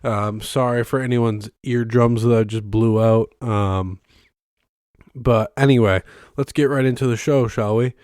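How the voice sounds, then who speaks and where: A young man hosting a casual solo podcast from his bedroom, rambling with many ums and restarts, his voice hoarse and congested from being sick. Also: medium pitch, fairly even intonation, a little slow, darkish tone, dry recording, studio quality. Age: young adult. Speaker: podcast host. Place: bedroom used as a studio. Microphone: close microphone, filmed on camera.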